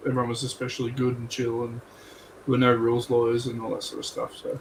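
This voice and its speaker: A man's voice: medium pitch, completely flat delivery, fast talker. A man chatting casually on a livestream panel, rambling and often qualifying what he says. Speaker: tournament organiser on a livestream panel. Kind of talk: casual chat. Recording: roomy echo, background noise.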